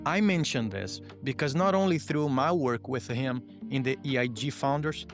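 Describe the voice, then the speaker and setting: A man hosting an interview podcast, speaking in a measured, explanatory way. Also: mid-range voice, lively intonation, faint music behind. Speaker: podcast host. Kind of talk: interview podcast with scripted promo.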